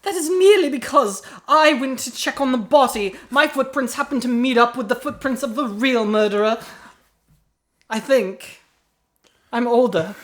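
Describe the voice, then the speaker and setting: A man's voice: very high-pitched, normal speed, fairly bright tone. A man voicing a forgetful elderly grandmother in an improvised comic radio mystery, rambling, defensive and melodramatic. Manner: rambling, self-justifying, melodramatic.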